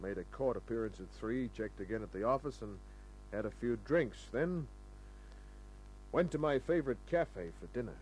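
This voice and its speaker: A man's voice: medium pitch, animated, moderate pace, a little mellow, natural voice. An Australian man acting a wry, tough, flirtatious private investigator in a laconic 1950s radio crime drama style. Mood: matter-of-fact narrating. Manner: laconic, wisecracking. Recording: noisy old radio recording with hum.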